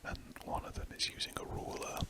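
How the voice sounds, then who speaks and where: A man whispering softly and rambling a little as he chats while drawing for an ASMR video, close to the mic indoors. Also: a little fast. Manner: soft rambling whisper with self-corrections. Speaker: ASMR drawing channel host.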